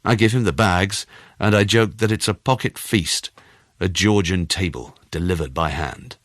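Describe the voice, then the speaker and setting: A man narrating a first-person short story in a measured, expressive storytelling manner. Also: low-pitched, no echo, studio quality. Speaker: audiobook narrator.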